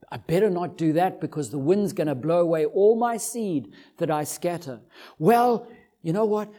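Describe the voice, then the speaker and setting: A man's voice: high-pitched, warm tone. Man acting an anxious, dithering farmer. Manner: hesitant, muttering to himself. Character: anxious dithering male farmer, fretting over the weather. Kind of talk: sermon illustration.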